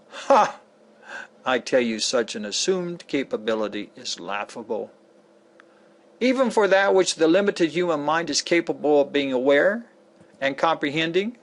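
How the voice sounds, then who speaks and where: A man playing a solemn, admonishing teacher from the Gospels, reading a lecture dramatically with deliberate pauses. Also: on the high side.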